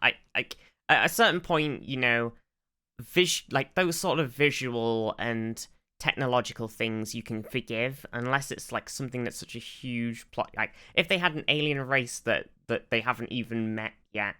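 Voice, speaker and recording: A man's voice: medium pitch, moderate pace, bright, forceful tone, clear, resonant tone. A man co-hosting a podcast, chatting casually about sci-fi television in rambling explanations with many restarts. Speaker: podcast co-host.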